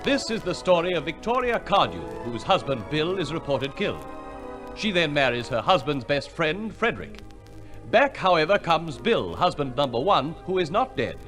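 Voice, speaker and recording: A man's voice: on the high side, big pitch swings, moderate pace, a bit bright, soft background music. A man announcing a radio play in a formal, theatrical 1950s scripted style.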